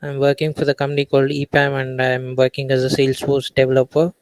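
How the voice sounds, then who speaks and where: A man's voice: mid-range voice, completely flat delivery, quick pace, clear, resonant tone, no room sound, rough recording. A man from India, a developer, introducing himself on a video call. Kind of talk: casual self-introduction.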